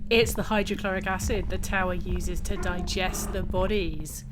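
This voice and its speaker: A woman's voice: lowish voice, steady tone, normal speed, music in the background, close to an everyday voice. A woman playing a cool, menacing villain in a comic horror audio drama, dry and commanding. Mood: dry menace. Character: cool, menacing woman hunter serving evil tower.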